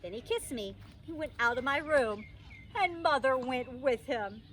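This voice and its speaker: Animated woman reading a storybook, slightly distant. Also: high voice, unhurried. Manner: expressive, childlike first-person narration. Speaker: teacher reading a children's book aloud. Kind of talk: animated storybook read-aloud. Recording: distant microphone, faint background noise.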